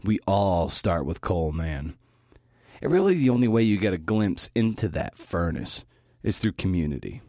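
Male podcast host, low pitch, dry close sound, informal talk delivery with casual swearing. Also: sing-song, moderate pace, a little mellow, no room sound. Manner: informal, mildly profane. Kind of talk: prepared talk to fellow writers.

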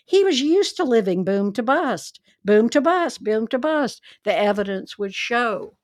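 A woman hosting a true crime podcast, telling the case in a chatty, animated, wry way. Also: fairly high pitch, unhurried, no echo, clean studio sound. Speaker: podcast host.

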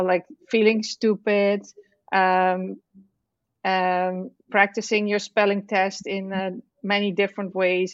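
A middle-aged woman from the Netherlands speaks slowly in English, her second language, with a clear Dutch accent. She pauses often and restarts her sentences. The sound is dry and slightly dull. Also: medium pitch, no echo. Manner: halting, many fillers and restarts. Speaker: author guest. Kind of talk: casual interview chat.

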